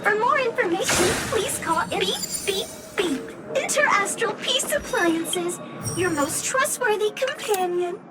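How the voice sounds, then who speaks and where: Squeaky, chirpy gadget robot. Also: female voice, lively intonation, measured pace. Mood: chirpy, officious. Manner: recites corporate notices and slogans between spoken beeps. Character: chirpy beeping robotic lost-item finder appliance, officious. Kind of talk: video game voice acting. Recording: game audio under loud music.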